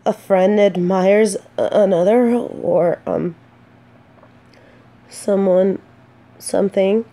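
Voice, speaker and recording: An earnest exiled female knight, voiced by a woman for a romantic fantasy audio drama. Her voice is low and mellow, and she takes her time. She carries herself solemnly, yet her words can stumble when she is flustered. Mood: flustered, shy. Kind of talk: scripted romantic audio roleplay, addressing the listener.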